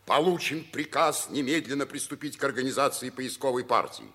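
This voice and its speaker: An actor playing a kindly, fatherly old mentor with a husky voice in a 1950s radio drama. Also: medium pitch, fairly quick, a bit bright, lightly acted. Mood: confiding. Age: older. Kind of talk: theatrical 1950s radio play acting.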